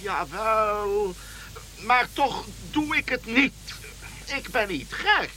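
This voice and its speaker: A man's voice: high-pitched, lively intonation, takes it slowly, pushed and bright, full character voice. A man playing a grumpy, sceptical king in a radio play, blunt and sighing, with a hesitant way of talking. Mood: evasive. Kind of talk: Dutch radio play acting. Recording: faint background hiss.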